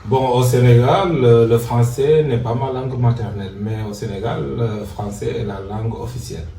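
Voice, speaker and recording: A man from Senegal whose first language is Serer, answering interview questions in a measured, hesitant way. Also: medium pitch, steady tone, crowd noise. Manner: measured, hesitant with many fillers. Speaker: teacher on a training course. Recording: echoey, distant, faint noise.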